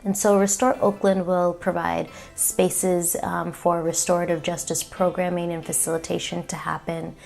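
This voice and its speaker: A woman's voice: on the low side, little pitch movement, normal speed, vocal fry, few pauses, a little mellow, faint music behind. A woman community organizer telling a personal story, reflective and earnest, with frequent ums.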